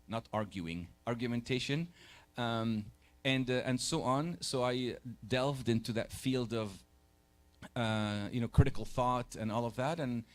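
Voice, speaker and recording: A man in his forties, born in Egypt, giving a conversational church lecture on faith and doubt to a live group, often pausing to rephrase. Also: mid-range voice. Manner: conversational, pauses to rephrase, takes questions. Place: church hall.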